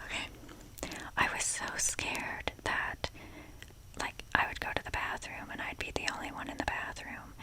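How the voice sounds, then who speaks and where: A woman whispers a casual ASMR ramble at an unhurried, slow pace. She is self-deprecating and swears offhandedly as she drifts along. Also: poor audio. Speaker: ASMR video creator.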